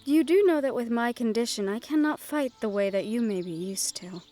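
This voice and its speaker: High-voiced gentle young noblewoman, acted by a woman. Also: animated, brisk, soft background music, natural voice. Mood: earnest, teasing. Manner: formal speech with few contractions. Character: sheltered young noblewoman ward, gentle, wistful, quietly willful. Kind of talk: fantasy audio roleplay acting, addressed to the listener.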